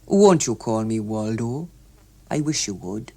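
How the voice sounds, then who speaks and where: A woman's voice: very deep voice, animated, unhurried, mellow, pitched below the performer's own voice, full character voice. A woman voicing an earnest, lovesick, self-pitying man with a hesitant, pleading manner in an audiobook. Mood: earnest, wooing.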